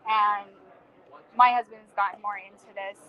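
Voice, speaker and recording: A young woman chatting casually at a conference, speaking quietly and trailing off, with lots of "like". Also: mid-range voice, steady tone, moderate pace, lo-fi sound. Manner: quiet, trailing speech with many "like" fillers. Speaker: conference visitor. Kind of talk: casual chat. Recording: loud crowd chatter behind.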